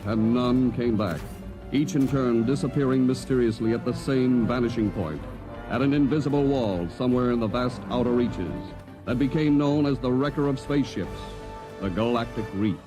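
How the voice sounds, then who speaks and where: An actor playing a solemn narrator, measured and dramatic, in a vintage science fiction radio drama. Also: male voice, medium pitch, lightly acted. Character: solemn male narrator of a space adventure. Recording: vintage radio recording with music.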